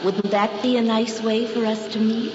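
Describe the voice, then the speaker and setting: A woman's voice: a little high, little pitch movement, normal speed, close to an everyday voice. A woman playing a genteel, coy schoolteacher who gushes politely, in old-time radio drama style. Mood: admiring, coy. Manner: refined, gushing, coy. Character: genteel, admiring lady schoolteacher. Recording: dull, echoey vintage radio recording with music.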